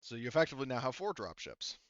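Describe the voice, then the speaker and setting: Guy gm-ing a tabletop game, rambly and stop-start, crisp voice but slightly dull audio. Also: mid-range voice, big pitch swings, dry recording. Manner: halting, explains in rambling detail. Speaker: game master. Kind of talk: casual tabletop game narration.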